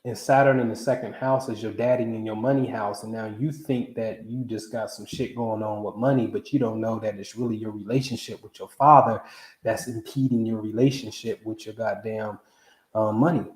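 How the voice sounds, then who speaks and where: A man's voice: fairly low pitch, fairly even intonation, moderate pace, reverberant, poor audio. A man in his forties hosting a casual astrology livestream, talking loosely and animatedly in slang, often asking "you know what I'm saying?". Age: 40s. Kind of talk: casual live talk.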